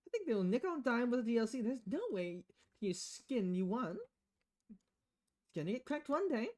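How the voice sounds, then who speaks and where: Lady streamer reading a visual novel out loud, super animated pitch, switches voices as she goes. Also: normal speed. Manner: acts out dialogue with changing voices. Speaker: video game streamer. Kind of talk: reading visual novel dialogue aloud on a livestream.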